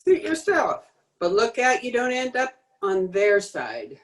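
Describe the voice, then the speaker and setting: A woman's voice: a little high, big pitch swings, a little slow, noisy background. A woman playing a suspicious, threatening crook's accomplice in an old-time radio drama, hard-boiled and challenging. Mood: menacing. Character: tough, suspicious female accomplice, curt and threatening. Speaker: amateur radio drama player.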